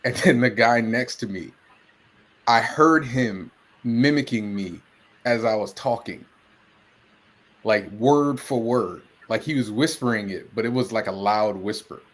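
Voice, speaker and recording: A man hosting a podcast, loud and chatty, telling stories with lots of "you know" and "like.". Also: fairly low pitch, a little slow. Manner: loud, chatty, rambling with fillers. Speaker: podcast host. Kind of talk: casual podcast chat.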